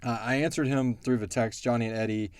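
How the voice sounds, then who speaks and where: A man co-hosting a casual question-and-answer chat, thinking aloud and explaining things in a halting way. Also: mid-range voice, fairly even intonation, a little mellow, clean tone, no echo, clean studio sound. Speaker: podcast co-host and show creator answering fan questions.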